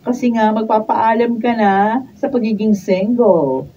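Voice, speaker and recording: High voice, woman acting chirpy teasing young Filipina, radio drama, distant dull recording. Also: measured pace, mellow, slight background noise, lightly acted. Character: chirpy, pushy young office girlfriend. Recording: distant, dull room pickup.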